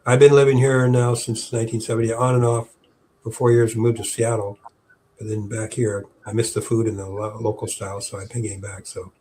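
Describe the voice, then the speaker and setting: A man's voice: fairly low pitch, little pitch movement, brisk, darkish tone, rough recording. Chatty older man with faint hiss. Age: 70s. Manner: rambling, with many fillers and restarts. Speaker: park historian guest. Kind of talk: casual interview chat.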